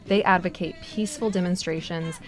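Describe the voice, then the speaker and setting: A woman's voice: fairly low pitch, fairly even intonation, fairly quick. A woman journalist reading a scripted news narration. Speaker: broadcast journalist. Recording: music behind the voice. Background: music.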